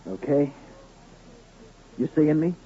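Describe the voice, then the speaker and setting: An actor playing a determined young ranch hand in a Western radio drama, curt and challenging. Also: male voice, fairly high pitch, animated, slow. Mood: defiant, tense. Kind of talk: 1970s radio drama acting. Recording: muffled, noisy 1970s radio drama recording.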